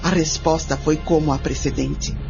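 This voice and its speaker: A woman playing a bold, curious nineteenth-century mother questioning a spirit in a radio drama, deliberate and formal. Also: low-pitched, fairly even intonation, gravelly. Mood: intrigued, resolute. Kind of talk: radio drama acting, first-person recounting. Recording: muffled radio drama with music behind.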